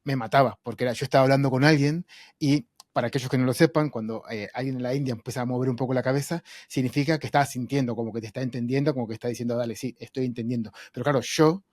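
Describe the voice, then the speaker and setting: A man hosting a podcast in casual chat, with informal, halting phrasing that often repeats words. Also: mid-range voice, steady tone, darkish tone, dry recording, clean studio sound. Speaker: podcast host.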